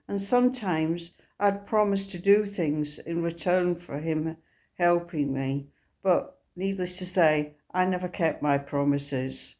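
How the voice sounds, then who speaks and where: Slow-spoken Scottish woman sharing testimony. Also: mid-range voice, darkish tone. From Scotland. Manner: earnest, unhurried storytelling. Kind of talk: personal faith testimony.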